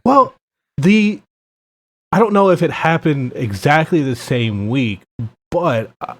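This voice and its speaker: A man's voice: fairly high pitch, animated, slow, a bit bright. A man hosting a casual podcast interview, asking teasing, easygoing questions. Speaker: podcast host.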